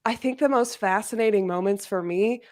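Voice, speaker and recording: A woman in a casual book discussion, speaking in an informal, exclamatory and animated way. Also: medium pitch, moderate pace. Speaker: guest discussing a novel in a readalong livestream.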